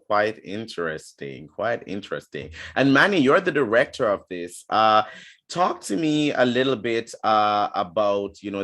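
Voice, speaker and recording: A man's voice: fairly low pitch, fairly bright tone. A man hosting a conversational interview, speaking as the one who asks the questions. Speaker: interview host.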